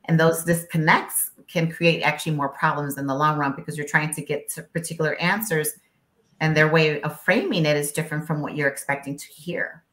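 Woman, low voice, first language Spanish, interpreter, emphatic, rhetorical questions, echoey video call. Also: steady tone, fairly quick, a bit bright. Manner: emphatic, explanatory, many rhetorical "right?". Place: home office.

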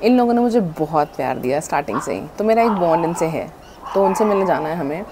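A woman's voice: on the low side, animated, quick pace, noisy background. A woman in her thirties chatting casually to camera as a vlogger, mixing Hindi and English, recorded with a lapel mic on a home patio. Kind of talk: casual vlog chat. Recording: background music.

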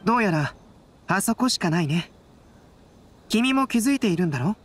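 An actor playing a calm, analytical young male artist and scholar in a Japanese anime game, measured and reflective. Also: sits high. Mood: composed.

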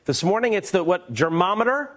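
A man in his forties reporting a consumer news story, energetic and emphatic, moving from studio to airport to plane cabin. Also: high-pitched, a little fast.

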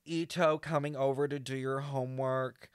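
A young man streaming his reactions, close on the mic with a dry sound. His voice is mid-pitched and his delivery is campy and theatrical, full of deadpan exaggeration. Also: normal speed, clean tone, dry recording. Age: young adult. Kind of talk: casual reaction commentary. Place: small indoor streaming room.

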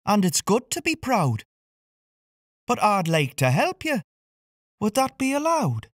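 A gentle, caring female character from a children's picture book, voiced by a narrator, speaking in a soft, coaxing voice. Her tone is lifted noticeably higher and sings up and down. It sits close and dry with no room around it. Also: huge pitch swings, unhurried, no echo.

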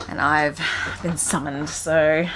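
A woman's voice: deep voice, level tone, slow. A woman in her thirties hosting a sailing vlog, chatting casually and thinking aloud from the cockpit of a sailboat. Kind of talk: casual chat while sailing. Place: outdoors on a sailboat cockpit at sea. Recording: clear wind noise outdoors.

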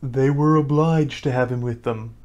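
Man putting on a ponderous, drawn-out Mock Turtle. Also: mid-range voice, fairly even intonation, a little mellow, lo-fi sound, close to an everyday voice. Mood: huffy, solemn. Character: slow, doleful, easily offended Mock Turtle creature. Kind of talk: storybook character voice.

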